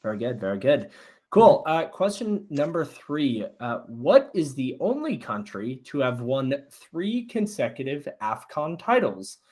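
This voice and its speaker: A man in a relaxed podcast chat, running a quiz segment with an upbeat, encouraging manner. His pitch sits in an ordinary middle range, and he keeps things light as he reads out questions and hands out hints. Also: measured pace. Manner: upbeat, encouraging, gives clues. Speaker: quiz host.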